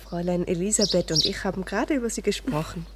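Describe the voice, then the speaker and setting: Eine Schauspielerin spielt in einem Hörspiel ein fürsorgliches, respektvolles Hausmädchen, das besorgt und gesprächig redet. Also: female voice, fairly low pitch, fast talker, natural voice. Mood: solicitous. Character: kindly, fussing, deferential household maid. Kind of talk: radio drama dialogue. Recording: animal sounds and noise behind.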